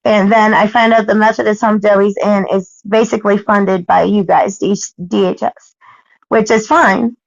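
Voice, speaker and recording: An American woman pressing her point on a recorded phone call, insistent and halting, often repeating herself. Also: mid-range voice, fairly even intonation, gravelly, dry recording. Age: adult. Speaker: parent questioning a caseworker. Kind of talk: argumentative phone conversation.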